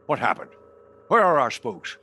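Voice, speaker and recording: A man's voice: mid-range voice, big pitch swings, moderate pace, pushed and bright, breathy edge, heavily acted. A man playing a brusque, officious intelligence director in a radio drama. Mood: stern.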